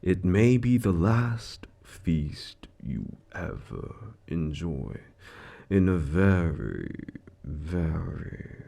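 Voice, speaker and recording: An actor playing a suave, smug devil who deals in souls, speaking with theatrical, condescending mock courtesy in a scripted audio roleplay. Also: male voice, low voice, lively intonation, drawn-out words, creaky, warm tone, exaggerated voice. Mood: silky menace. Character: suave, smug male devil, menacing soul-contract broker in Hell.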